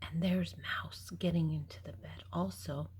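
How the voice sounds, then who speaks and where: An older woman with a husky voice reading a picture book aloud to young children, explaining gently and simply with pauses. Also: low-pitched, fairly even intonation, slight background noise. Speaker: storybook reader and host.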